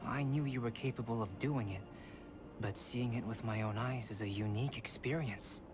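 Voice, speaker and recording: Young male game alchemist, played by an actor: composed, formal, detached, measured delivery, music underneath. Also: mid-range voice, close to an everyday voice. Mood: calm, analytical. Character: calm, aloof young male alchemist and artist. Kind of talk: scripted video game dialogue.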